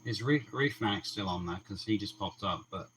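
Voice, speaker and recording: A man's voice: lowish voice, moderate pace, fairly bright tone, a little hiss or hum, rough recording. A man co-hosting a casual livestream, easygoing and teasing, sometimes repeating words as he starts. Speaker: co-host of an aquarium livestream. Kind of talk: casual chat.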